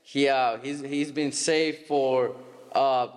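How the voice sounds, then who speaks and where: A man's voice: medium pitch, fairly even intonation, smooth. Host man in a reverberant hall. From Stockton, California. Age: 30s. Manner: halting, many restarts and fillers. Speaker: podcast host. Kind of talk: casual church podcast interview.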